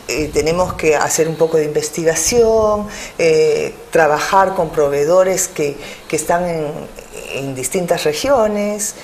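A woman's voice: lowish voice, slight background noise. A middle-aged woman with a Peruvian accent, answering interview questions in a TV studio, hesitating often with fillers. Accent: Peruvian Spanish. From Peru. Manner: hesitant, many fillers, animated hands. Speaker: business owner guest. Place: TV studio with city backdrop.

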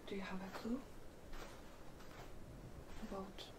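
A young woman playing a tough, secretive hacker rescuer in an ASMR roleplay, hushed and terse, blunt yet reassuring. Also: medium pitch, flat delivery, takes it slowly, heavy background noise, natural voice. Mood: guarded, calm. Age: young adult. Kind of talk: ASMR roleplay, soft spoken.

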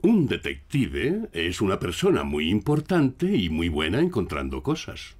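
A good-natured father pig in a children's cartoon, with a Castilian accent. The voice is low and swoops widely in pitch. The delivery is kindly and playful, over clear noise. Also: huge pitch swings, measured pace, heavily acted. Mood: explaining kindly. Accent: Castilian Spanish. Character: jolly, good-natured middle-aged daddy pig.

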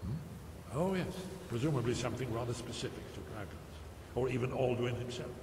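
An actor playing an elderly, scholarly loremaster in a video game, musing and lecturing with drawn-out emphasis. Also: male voice, mid-range voice, natural voice. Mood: absorbed, lecturing. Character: elderly scholarly male loremaster, absorbed and rambling. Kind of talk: video game character dialogue. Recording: echoey stone hall, background noise.

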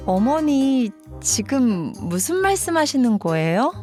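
A woman's voice: fairly high pitch, very animated, slow pace, a little mellow. A woman playing a practical working wife and daughter-in-law, pointed and matter-of-fact, in a dramatized story video. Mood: taken aback. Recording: music behind the voice.

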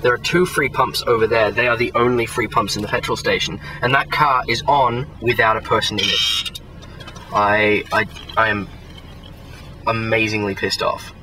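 A man's voice: lowish voice, steady tone, moderate pace, distant mic, background noise. A young man in his twenties vlogging a road trip, chatting in a rambling, wry and exasperated way, full of fillers, with a handheld camera at arm's length. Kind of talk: casual travel vlog. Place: outdoors, in a car and in a large empty eatery. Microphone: handheld camera held at arm's length.

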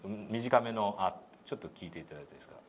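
A man's voice: on the low side, lively intonation, quick pace. A man giving a one-on-one English pronunciation lesson in an encouraging way, full of praise, demonstrating sounds. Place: meeting room, indoors. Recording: noisy meeting-room recording.

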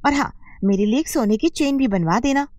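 A woman's voice: sits high, lively intonation, a little fast, a little mellow, no room sound. A woman playing a boastful, demanding, newly rich housewife in a cartoon, showy and emphatic. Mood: insistent.

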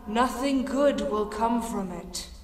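An actor voicing a magic talking flower, calm and knowing, in an audio drama. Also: mid-range voice, slow pace, lightly acted. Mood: grave warning. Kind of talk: audio drama character acting. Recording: echoey voice with background noise.

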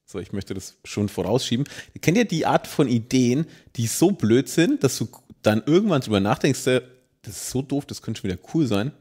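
A man from Franconia co-hosting a podcast from his home, telling a story in animated casual chat. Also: medium pitch, normal speed, slightly rough. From Franconia, Germany. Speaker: podcast co-host.

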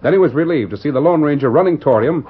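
An actor narrating a western serial as a dramatic storyteller in old-time radio style. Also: male voice, fairly high pitch, expressive, rapid-fire, close to an everyday voice. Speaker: announcer-narrator. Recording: dull vintage radio recording. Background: music.

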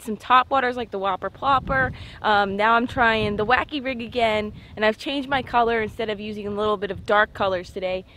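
A woman's voice: on the high side, a bit bright, a little hiss or hum. A young American woman casually narrating a fishing vlog in an informal, slangy way from a small boat outdoors. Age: young adult. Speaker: fishing show host.

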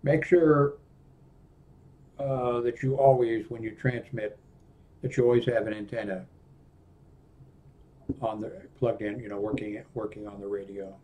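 An older man with an American accent giving an unscripted product walkthrough in a halting, rambling way that often trails off. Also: on the low side, steady tone, muffled recording. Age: 60s or older. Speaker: presenter of a radio equipment review. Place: small indoor room at a desk.

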